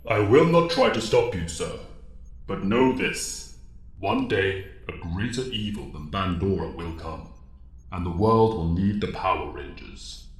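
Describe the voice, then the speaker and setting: A man acts out a wise alien mentor from an audio drama, grave and commanding. The voice sits low and rings with heavy echo. Every phrase is formal, measured and solemn. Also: animated. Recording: echoing, reverberant voice.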